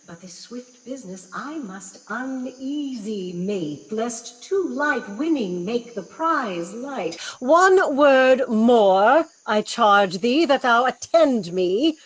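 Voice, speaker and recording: A woman playing a stern, commanding sorceress mother in a Shakespearean audio drama, theatrical and sharp in rebuke. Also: on the high side, animated, slow, husky, no echo, heavily acted, low-quality recording. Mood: quiet, scheming.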